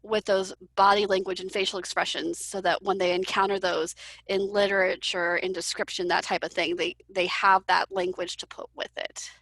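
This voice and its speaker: A woman who teaches visually impaired children, explaining her methods in a relaxed webinar conversation over a video call. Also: fairly low pitch, moderate pace, dry recording, poor audio. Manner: explanatory, acts out example phrases. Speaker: educator for visually impaired students.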